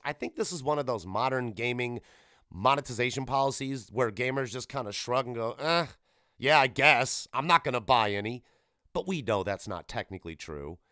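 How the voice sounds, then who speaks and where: A man's voice: medium pitch, fairly bright tone, dry recording, studio quality. A man hosting a solo gaming podcast, giving casual commentary in a rambling, irreverent, profane way. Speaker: podcast host.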